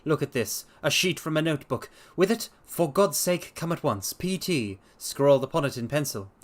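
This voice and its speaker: A man voicing a self-assured gentleman detective in a storybook reading, brisk, precise and emphatic as he explains himself. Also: a little high, lively intonation, close to an everyday voice. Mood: urgent.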